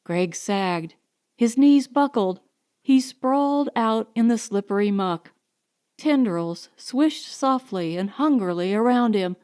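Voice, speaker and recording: A woman narrating a science fiction audiobook in a measured, deliberate, dramatic way. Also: fairly high pitch, expressive, a little mellow, clean tone.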